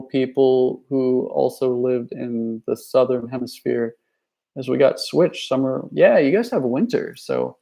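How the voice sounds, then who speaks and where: A young man talks off the cuff, his voice gravelly with a rough edge. He wanders from thought to thought, stacking fillers and false starts like someone chatting on a livestream. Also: mid-range voice, mellow. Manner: rambling, many fillers and restarts; reads viewer chat messages aloud. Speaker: youtuber. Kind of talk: casual livestream chat.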